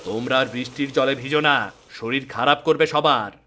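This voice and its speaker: A man acts a kindly royal minister in a cartoon fairy tale. His voice is mid-pitched and bright, full of earnest care, with faint noise behind. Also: fairly quick, lightly acted. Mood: caring. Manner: earnest, concerned.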